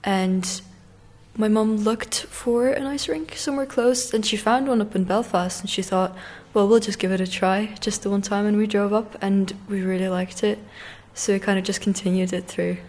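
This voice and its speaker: A woman's voice: mid-range voice, fairly even intonation, normal speed, a little mellow. Hesitant young woman in a radio interview. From Ireland and Russia. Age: teens, around 17. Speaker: interview guest, competitive figure skater.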